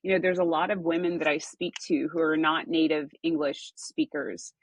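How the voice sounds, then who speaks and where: A woman hosts a casual podcast with a lower, husky voice. She encourages her guest and circles back on her words as she thinks. The recording is dry and close. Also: steady tone, moderate pace, no room sound, rough recording. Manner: warm, affirming, repeats words as she thinks. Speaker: podcast host.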